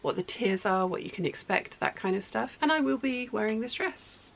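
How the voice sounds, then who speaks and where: A talkative woman vlogs from her home sewing studio, wandering off track and correcting herself as she goes. Her voice is starting to go hoarse, with a gravelly fry creeping in. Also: fairly high pitch, expressive, moderate pace, a little hiss or hum. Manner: rambling, self-correcting, talkative. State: voice going hoarse. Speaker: sewing vlogger. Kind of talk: casual chatty vlog.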